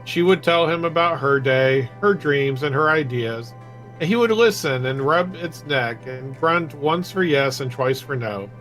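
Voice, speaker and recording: A man's voice: on the high side, unhurried, fairly bright tone, soft background music. A man reading stories aloud and chatting casually during a video game session. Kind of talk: storybook read-aloud and casual game chat.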